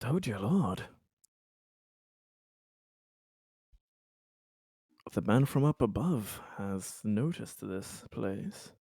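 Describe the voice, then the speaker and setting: A man's voice: on the low side, expressive, slow pace, creaky, warm tone, husky, no echo, studio quality, heavily acted. A man playing a grand, exclamatory space colony administrator in a tabletop roleplaying game. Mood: awed dread.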